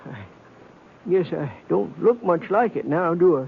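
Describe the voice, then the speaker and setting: A man's voice: high-pitched, expressive, a little slow, gravelly, husky, heavily acted. An actor playing a flustered, modest aging townsman in a radio western, stammering and folksy, with an American Western accent. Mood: wistful, confiding. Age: older. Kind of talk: old-time radio western acting. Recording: thin, phone-like old radio recording.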